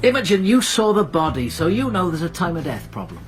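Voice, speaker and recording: An actor playing a wry, blunt detective sergeant in a British radio drama, with a British accent and a colloquial, persuasive manner. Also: male voice, high voice, sing-song, fairly quick, faint music behind. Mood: wry, coaxing. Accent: British English.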